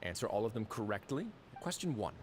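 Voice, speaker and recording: An actor playing a calculating, unhurried fortress warden in a video game, speaking with polite, measured, sardonic menace. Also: male voice, on the low side, big pitch swings, slight background noise. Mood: commanding, probing.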